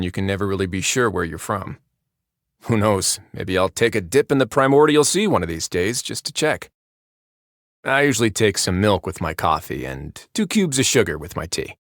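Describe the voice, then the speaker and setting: A man's voice: lowish voice, no room sound. A man playing a wry, composed prison warden in a fantasy game, speaking with dry, laid-back, understated wit. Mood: candid, musing. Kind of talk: video game character voice lines.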